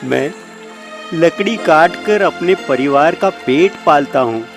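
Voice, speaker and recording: A man's voice: fairly high pitch, slow pace. A man playing a humble, honest woodcutter in a dramatized folktale, speaking in an earnest, emotional, pleading way. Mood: tearful despair. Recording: faint music behind the voice.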